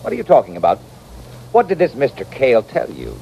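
An American actor playing a sensible, educated town doctor, dry and plain-spoken, in an old radio western. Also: male voice, mid-range voice, huge pitch swings, brisk, gravelly, darkish tone. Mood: skeptical. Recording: dull, noisy vintage radio recording.

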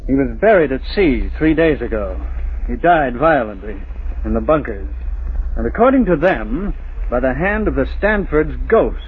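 An actor playing a businesslike, worried shipping agent in a formal, earnest 1940s radio drama manner. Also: male voice, mid-range voice, big pitch swings, moderate pace, slightly rough. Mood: grave, uneasy. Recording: tinny old radio recording, faint noise.

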